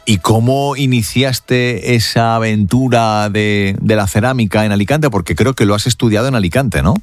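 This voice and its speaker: A man's voice: on the low side, moderate pace, dry recording, soft background music. A man with an accent from Spain, hosting a radio show and interviewing guests. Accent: Spanish from Spain. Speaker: radio host.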